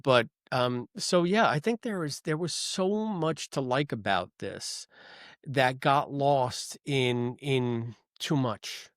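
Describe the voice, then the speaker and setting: A man co-hosting a podcast, recorded close and dry with no room sound. His voice sits at an ordinary middle pitch. He talks in a rambling, thoughtful way, circling back with ums and restarts. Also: lively intonation, slow pace, dry recording. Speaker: podcast co-host. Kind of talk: casual review chat.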